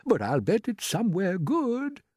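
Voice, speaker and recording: A kindly old grasshopper from a storybook, the calm and cheerful leader of his friends. He talks in a soothing, slightly grand way, and his voice swings widely up and down, as a reader puts him on. The sound is close and dry. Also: medium pitch, measured pace, no echo, voice pushed up. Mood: reassuring.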